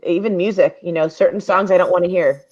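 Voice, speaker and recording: Woman co-host, low voice, muffled video-call audio. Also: steady tone, fast talker, darkish tone. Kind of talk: casual chat.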